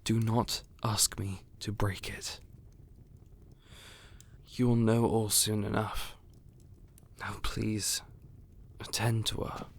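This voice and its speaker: A man playing a loyal, guarded royal messenger in an audio roleplay, speaking in a formal, restrained and hushed way. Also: fairly low pitch, level tone, slow pace, vocal fry, pauses often, exaggerated voice. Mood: strained, pleading.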